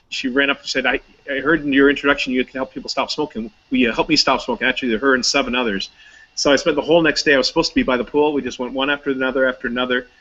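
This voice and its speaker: A man's voice: medium pitch, bright, forceful tone, lo-fi sound. A man talking casually as an interview guest, chatty and anecdotal, with many fillers and restarts. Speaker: hypnotist, guest.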